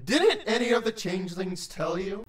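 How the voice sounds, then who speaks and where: A woman's voice: mid-range voice, very animated, moderate pace, roomy sound, pitched below the performer's own voice, close to an everyday voice. A woman voicing a huge, exhausted, irritable male changeling creature in a storybook, with a stern, snapping, hissing manner. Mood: testy, defensive.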